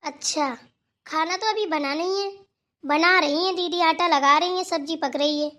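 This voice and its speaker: A woman voicing an excitable, gossipy young daughter-in-law in a village cartoon family drama, chatty and emphatic. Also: extremely high pitch, normal speed. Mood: dutiful.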